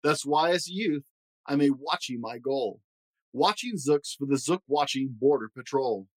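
A man's voice: mid-range voice, expressive, a little slow, dry recording, lightly acted, rough recording. A man voicing a very old, stern and proud grandfather who moralizes indignantly and emphatically in rhyme for a storybook. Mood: indignant, grave. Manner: emphatic, moralizing, rhyming speech.

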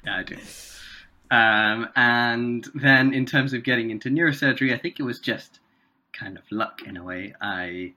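A man in his thirties from South India and Singapore with an English accent, chatting casually as a guest neurosurgeon with frequent pauses. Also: mid-range voice, sharp tone. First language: Tamil. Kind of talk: casual interview chat.

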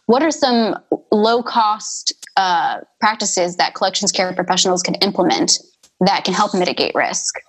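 Husky-voiced woman hosting a panel. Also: medium pitch, normal speed, poor audio. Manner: conversational, asks the panel questions. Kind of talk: casual panel discussion.

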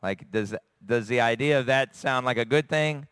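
A man from America preaches to his congregation with a voice gone raspy and hoarse. He speaks earnestly, searching for words with pauses and fillers. His middle-pitched voice sounds close and dry on a handheld mic. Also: fairly bright tone, dry recording. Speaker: church elder preaching. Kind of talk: sermon. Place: church service. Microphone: handheld microphone.

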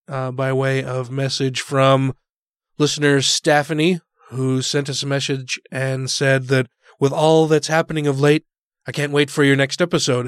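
Podcast guy with his voice almost gone, stuffy and hoarse, easygoing, dry close mic. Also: mid-range voice, no room sound. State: losing his voice, congested. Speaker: podcast co-host. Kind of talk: casual political chat.

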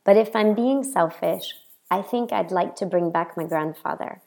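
A woman from the American South with a Southern accent, introducing herself as a language teacher in a friendly, measured podcast talk. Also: fairly low pitch, creaky, darkish tone. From Southern United States. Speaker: English teacher. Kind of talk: scripted podcast self-introduction.

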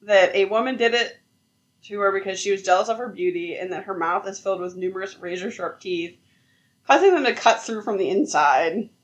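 A woman's voice: medium pitch, brisk, dull audio. Female podcast co-host, casual storyteller, laughs through her lines. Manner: giggly, rambling, laughs mid-sentence.

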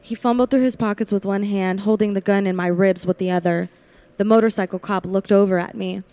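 A woman's voice: mid-range voice, steady tone, a little fast, dark tone, dry recording, natural voice. A woman playing a frightened young working woman held by a killer in a radio thriller, pleading, faltering and sometimes defiant, also narrating. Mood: tense narration. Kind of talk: classic radio thriller acting with first-person narration.

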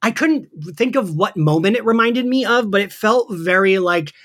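A man's voice: high voice, animated, moderate pace, a bit bright. A man hosting a casual recap podcast, speaking in an enthusiastic, gushing way. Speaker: podcast host. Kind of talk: casual podcast chat recapping an audio drama.

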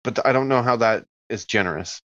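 Low-voiced man, dull dry recording. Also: fast talker, fairly bright tone, no room sound. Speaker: player in a tabletop roleplaying game. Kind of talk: casual, improvised game play.

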